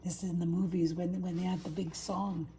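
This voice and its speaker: Older woman reading a storybook, mellow voice. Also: fairly low pitch, level tone, fairly quick, gravelly. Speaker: grandmother reading stories. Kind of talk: children's storybook narration.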